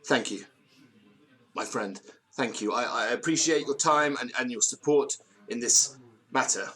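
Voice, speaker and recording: An actor playing a nervous, theatrical, disgraced gentleman in an audio drama, with florid old-fashioned diction and a tendency to stammer. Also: mid-range voice, measured pace. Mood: flustered, pleading. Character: nervous, theatrical, hard-drinking disgraced university gentleman storyteller.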